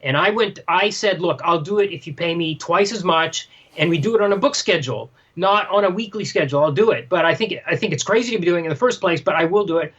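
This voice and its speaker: A man chatting casually as a podcast co-host, telling an anecdote in a halting way, often repeating phrases and quoting himself. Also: sits high, fairly bright tone, breathy edge, highs cut off. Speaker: podcast co-host and manga translator.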